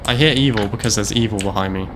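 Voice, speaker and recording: A man's voice: on the low side, quick pace, fairly bright tone, soft background music. A young man streaming a game, joking and rambling with lots of fillers. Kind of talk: casual commentary while playing.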